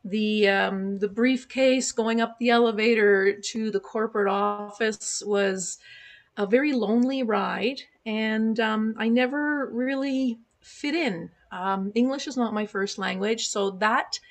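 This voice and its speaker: A woman's voice: on the high side, slow. A woman in her sixties whose first language is German, raised in Canada, speaking candidly as a podcast guest, with frequent fillers. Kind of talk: casual interview conversation. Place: remote podcast call.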